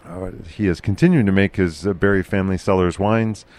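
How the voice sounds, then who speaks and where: A man hosting a casual local podcast chat, with a loud, carrying voice, talking haltingly with many fillers and restarts. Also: sits low, fairly even intonation. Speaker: podcast host.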